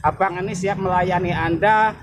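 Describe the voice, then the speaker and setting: A man's voice: high-pitched, steady tone, normal speed. A man, a YouTube reporter who interviews a street vendor outdoors in casual, chatty talk with repeated tag questions. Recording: street noise from nearby traffic.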